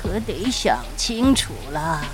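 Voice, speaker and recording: A sly, greedy old shopkeeper played by a woman from a dark fantasy audio drama. The words come out very slowly, in a teasing drawl with menace underneath. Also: fairly low pitch, very animated, music in the background. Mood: sly, menacing. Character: sly, greedy elderly shopkeeper of sinister magic apples.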